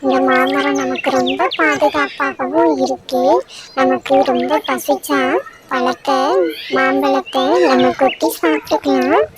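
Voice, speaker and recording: A woman's voice: high voice, steady tone, unhurried, noisy background. A woman voicing a caring mother bird who reassures her chicks in a cartoon. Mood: reassuring. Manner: soothing, reassuring. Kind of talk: cartoon voice acting.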